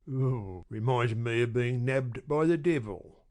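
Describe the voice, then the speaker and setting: A woman reading an audiobook, voicing a gruff, menacing male robber in a lower, louder voice. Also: slow. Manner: gruff, threatening.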